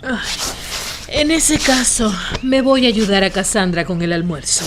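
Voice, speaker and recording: A woman's voice: mid-range voice, lively intonation, a little slow, background noise, lightly acted. An actress playing an embarrassed, fussy genteel mother in a radio drama, mildly reproving and flustered.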